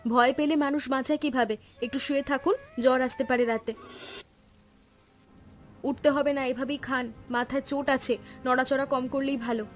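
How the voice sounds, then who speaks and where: A woman voicing a practical, caring village wife in a Bengali cartoon story, brisk and affectionately scolding. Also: high-pitched, fairly even intonation, faint music behind, lightly acted. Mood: nursing, reassuring.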